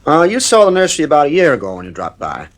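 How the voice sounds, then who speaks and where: Radio play: a troubled, firm middle-aged dad at his wits' end, highly varied intonation. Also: huge pitch swings, racing. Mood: brisk, anxious. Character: stern, worried father at his wits' end. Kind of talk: radio drama acting.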